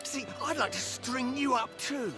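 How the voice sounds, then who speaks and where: An actor playing a menacing, sadistic inquisitor in a video game, speaking in an ornate, taunting, theatrical way. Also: male voice, very high-pitched, unhurried, music in the background. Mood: gloating menace. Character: sadistic, menacing male inquisitor hunting sorcerers.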